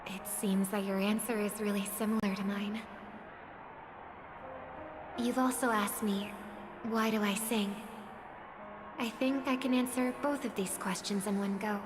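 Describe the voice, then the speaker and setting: A woman playing a gentle, earnest young female singer in a video game, idealistic and measured in speech. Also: mid-range voice, fairly even intonation, background noise, natural voice. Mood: wistful, reflective. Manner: measured, soft-spoken, earnest. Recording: long reverb, music under the voice. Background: background music.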